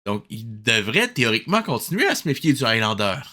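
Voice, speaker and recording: A man's voice: mid-range voice, sing-song, fairly quick, sharp tone. A man with a Québécois accent, hosting a casual cartoon review, exasperated and sarcastic and dropping English words into his talk. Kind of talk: casual commentary.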